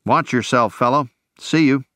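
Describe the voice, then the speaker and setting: A man's voice: medium pitch, moderate pace, a bit bright, dry recording, clean studio sound, pitched above the performer's own voice, close to an everyday voice. A man voicing an easygoing, wisecracking young male soldier in an audiobook. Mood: breezy. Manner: breezy, wisecracking. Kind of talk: audiobook character voice.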